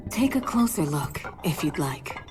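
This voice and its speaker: A woman playing a calm, courteous scholarly researcher in a video game. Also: low voice, unhurried. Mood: intrigued, courteous. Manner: courteous, composed. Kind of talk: video game cutscene acting. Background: music.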